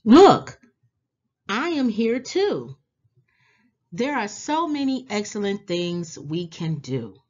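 A woman reading a children's storybook aloud in a deliberate, animated manner, drawing out words and pausing. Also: medium pitch, lo-fi sound. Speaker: children's book read-aloud host. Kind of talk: expressive storybook read-aloud for young children.

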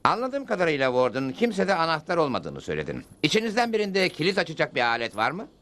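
Quick-talking cartoon cowboy hero. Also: male voice, fairly high pitch, wildly swooping pitch, music in the background. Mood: calm, resolute. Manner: questioning, decisive. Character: level-headed, resolute cowboy hero. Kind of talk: Turkish cartoon dub acting. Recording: clear background noise.

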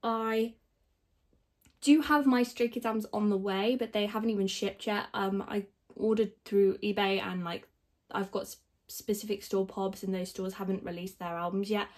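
A young woman with a croaky voice from concert screaming, chatting casually and rambling while she sorts collector binders at a table. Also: medium pitch, steady tone, normal speed, a little mellow. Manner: chatty, self-deprecating, rambling, thinking aloud. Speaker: k-pop collector vlogger. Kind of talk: casual rambling narration over binder organizing. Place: indoors at a table, filming the binder from above.

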